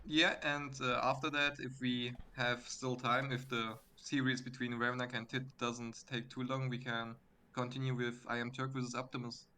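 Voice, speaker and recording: A man who speaks English as a second language chats before a match as co-caster. He talks hesitantly, pausing on fillers and laughing softly. His pitch sits in the middle range. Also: little pitch movement. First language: not English. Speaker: esports co-caster. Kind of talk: casual pre-show chat.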